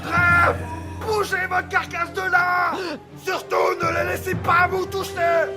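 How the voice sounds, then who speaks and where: A woman's voice: very high voice, flat delivery, takes it slowly, raised voice. A woman playing an alarmed, commanding ship's captain who gives urgent orders in a dramatic audio fiction. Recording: music and crowd clamour behind.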